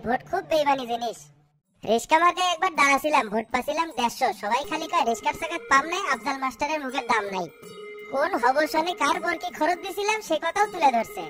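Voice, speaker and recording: A scheming small-town cartoon politico man, acted out with a pitched-up voice, very high and fast. He blusters boastfully, with music behind him. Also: lightly acted. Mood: opinionated. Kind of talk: cartoon comedy dialogue.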